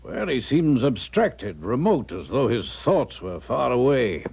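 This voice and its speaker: An actor playing an insistent, stuffy middle-aged father in a 1970s radio drama, formal and pressing with hesitant pauses. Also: mid-range voice, big pitch swings, creaky, husky, exaggerated voice. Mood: polite, uneasy. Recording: dull 1970s radio broadcast recording.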